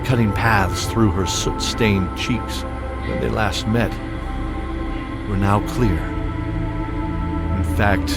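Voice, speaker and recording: A man narrating a fantasy audio drama in a measured, scripted way. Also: on the low side. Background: dramatic music score.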